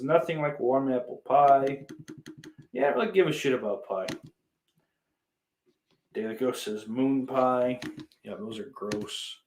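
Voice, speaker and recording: A man's voice: medium pitch, a little hiss or hum. A man hosts a casual podcast in a mid-range voice. He talks off the cuff to his listeners, reading and answering their comments. His language is blunt and full of swearing. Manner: profane, blunt. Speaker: podcast host.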